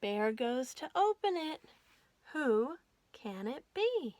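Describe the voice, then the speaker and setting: A woman hosting a children's storytime, reading aloud in an animated, sing-song way and putting questions to young listeners. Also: high voice, slow pace.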